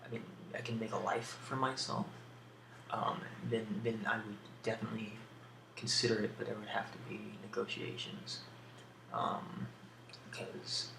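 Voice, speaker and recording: A soft-spoken man answering questions in a casual ASMR style, with a hesitant, musing delivery and pauses between thoughts. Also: fairly low pitch, level tone, gravelly. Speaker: ASMR video creator answering a question tag. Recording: roomy echo with faint background hiss.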